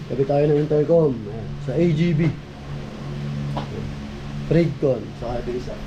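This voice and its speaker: A Filipino man with a slightly high voice talks casually, like a vlogger checking in with viewers. The audio is a little dull, with loud vehicle noise all around him. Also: moderate pace. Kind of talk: casual vlog chat.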